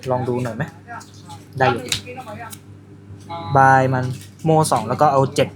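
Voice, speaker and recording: A man's voice: medium pitch, fairly even intonation, measured pace, a crowd in the background. A man playing a card game in a comic shop, joking and calling out his moves. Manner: jokey, laughing often. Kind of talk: casual banter during a card game.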